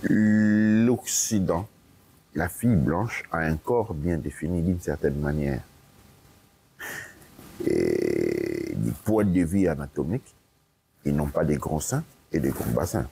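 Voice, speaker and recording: A man with a very low, gravelly voice lectures in an interview, swinging his intonation widely. He drives his points home by repeating them and tossing in "ok?" to check he is followed. A faint hiss sits under the recording. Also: expressive, slow pace, mellow. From Italy. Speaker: guest speaker.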